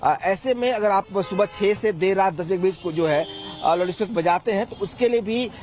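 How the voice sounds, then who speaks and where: A man's voice: high voice, moderate pace, darkish tone, faint music behind. A man giving a wordy news report on location as a field reporter. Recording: faint traffic and ambient noise.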